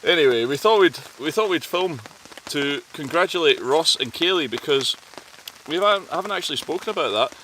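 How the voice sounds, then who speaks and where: A man with a Scottish accent softened by years in Cornwall and Canada, chatting relaxed and laughing easily at an outdoor camp. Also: fairly high pitch, fairly quick, rough recording. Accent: Scottish, mixed with time in Cornwall and Canada. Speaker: outdoor vlogger. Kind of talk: casual chat by a campfire. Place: woodland camp outdoors in heavy rain. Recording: rain noise outdoors.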